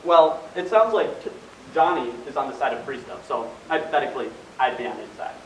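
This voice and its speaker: A man's voice: mid-range voice, sing-song, a little fast, close to an everyday voice. A man playing a casual, awkward young man feigning nonchalance in an amateur stage play. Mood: sheepish. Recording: echoey hall, distant mic, faint noise.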